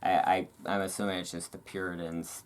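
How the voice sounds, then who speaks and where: A host reading aloud in a relaxed ASMR style, with casual, musing asides. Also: sits low, a little fast. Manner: rambling, musing asides between read passages.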